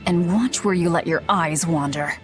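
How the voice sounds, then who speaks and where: A woman's voice: fairly low pitch, normal speed, faint music behind, lightly acted. An actress playing a guarded, blunt young demon woman in a game, speaking curtly. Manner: curt, blunt.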